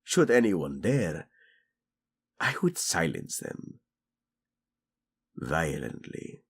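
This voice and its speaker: A man's voice: sits low, big pitch swings, very slow, breathy edge, heavily acted. A man playing a flirtatious, doting prince and husband in a romantic audio roleplay, speaking intimately and expressively. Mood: protective, tender.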